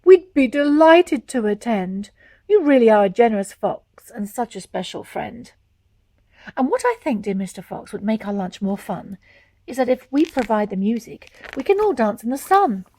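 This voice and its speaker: A woman's voice: on the high side, expressive, moderate pace, dark tone. A woman voicing a friendly, grateful storybook badger with an effusive, enthusiastic manner. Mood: pleased.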